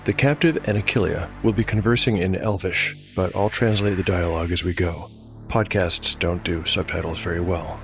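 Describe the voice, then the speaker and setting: A man with a deep voice narrates as host and game master of a fantasy audio drama. His delivery is scripted storytelling, set over a clear music bed.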